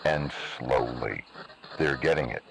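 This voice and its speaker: A man voicing a cold, menacing emergency broadcast announcer in slow, measured, ominous narration. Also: rumbling low, vocal fry, crowd noise, full character voice. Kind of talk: scripted analog horror narration. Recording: noisy analog video recording.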